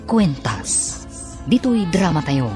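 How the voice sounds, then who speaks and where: A man's voice: on the high side, sing-song, slow pace. A man with a Filipino accent giving scripted, dramatic narration as the announcer of a radio drama. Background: music.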